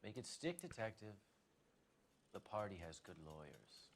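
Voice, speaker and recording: Smug, defiant suspect. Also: male voice, on the low side, sing-song, unhurried, slight background noise. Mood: smug, taunting. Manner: taunting, cool. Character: smug, defiant male political agitator suspect. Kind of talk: dramatic video game acting.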